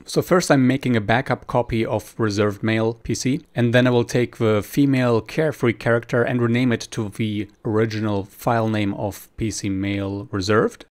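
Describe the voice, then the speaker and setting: A man's voice: medium pitch, steady tone, moderate pace, hardly any pauses. A man presenting a screen-recorded tutorial, explaining each step informally.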